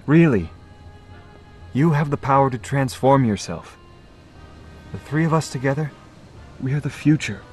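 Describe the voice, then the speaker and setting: A man's voice: medium pitch, lively intonation, a little slow, long pauses, faint music behind, natural voice. A man playing an earnest, intense young man in an audio drama, solemn and persuasive as he rallies his companions. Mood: insistent.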